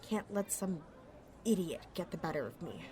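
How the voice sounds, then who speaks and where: A woman's voice: on the low side, expressive, moderate pace, reverberant, in a large hall, a crowd in the background, noisy background, close to an everyday voice. A woman playing a cocky, sarcastic young pickpocket outlaw in a sci-fi audio roleplay, muttering wryly to herself. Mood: steadying herself. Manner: wry, sardonic, slangy, talking to herself.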